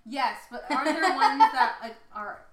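A woman's voice: high-pitched, unhurried, over a noisy crowd. A woman in her mid twenties co-hosting a podcast in casual, conversational talk. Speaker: podcast co-host. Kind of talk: casual chat. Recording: echoey room, other voices around.

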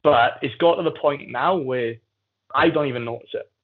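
A man from the South Wales Valleys tells stories in a candid, informal way, as a guest in a relaxed conversation. The audio is narrow and phone-like, as if over a call. His pitch is average for a man. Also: normal speed, husky. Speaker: podcast guest, jiu-jitsu athlete. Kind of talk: casual conversational storytelling.

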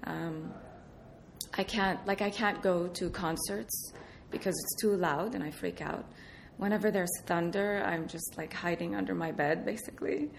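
Woman from Iraq, low-ish voice, soft-spoken, laughs often, hesitant. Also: fairly even intonation, moderate pace, vocal fry, a little hiss or hum. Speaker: artist, interview guest. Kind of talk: casual interview answers.

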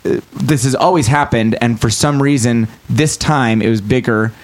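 Brief: man, podcast guest, candid and self-mocking, laughs a lot, swears, quick bursts when quoting himself. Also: mid-range voice. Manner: swears casually, speeds up when acting out his thoughts. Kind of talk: casual chat.

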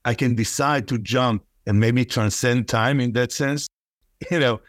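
A man from Israel, whose first language is Hebrew, speaking thoughtfully as a poet guest in an interview, pausing and laughing softly. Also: medium pitch, no room sound, studio quality. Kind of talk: reflective interview talk.

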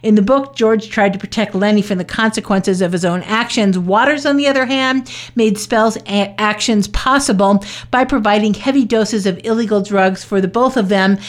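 A woman with a mid-pitched voice delivers a scripted news report. She reads as a reporter does, measured and matter-of-fact, laying out the details one sentence at a time.

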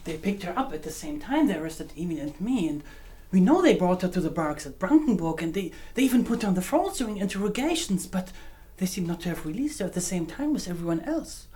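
A man's voice: sits high, big pitch swings, fast talker, darkish tone. A male actor performs a young activist in a radio drama. He comes across as a loyal, wary follower who speaks with some hesitation. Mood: subdued.

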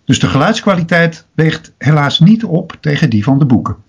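A man's voice: on the high side, big pitch swings, a little fast, gravelly, a little hiss or hum. Dutch man hosting, dull lo-fi sound. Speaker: podcast host and interviewer. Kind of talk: podcast introduction and interview. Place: at home, remote internet call. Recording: dull, lo-fi remote internet connection.

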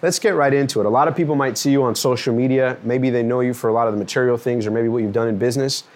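Male interviewer, mid pitch, friendly, conversational. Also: fairly even intonation, very fast, a little mellow. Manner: friendly, encouraging, many short backchannels. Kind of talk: casual interview.

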